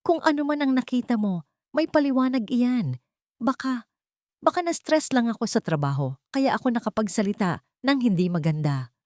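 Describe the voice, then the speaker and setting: Scheming wife, lifted pitch, falsely sweet, pleading, melodramatic audio story; woman narrator acting. Dry, close sound. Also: animated, normal speed, a little mellow, dry recording, close to an everyday voice. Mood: desperate pleading. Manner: feigned innocence, pleading.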